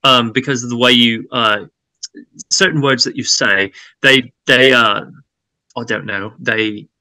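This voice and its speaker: A Texan man with a Southern accent chats as an interview guest over a webcam from a room at home. His voice is gravelly yet very bright, and the sound is dry and close. He hesitates, restarting his sentences with plenty of "um"s. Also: medium pitch, steady tone, measured pace, no echo, rough recording. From Texas. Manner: hesitant, many fillers and restarts. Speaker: actor guest. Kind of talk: casual video call interview. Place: home room with shelves, indoors. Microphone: webcam on a video call.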